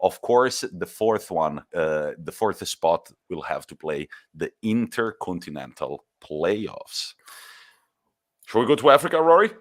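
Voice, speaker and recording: A man co-hosting a football podcast, teasing his partner playfully and slowing down to read out match results. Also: low voice, very animated, a bit bright. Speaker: podcast co-host. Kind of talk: casual football podcast chat.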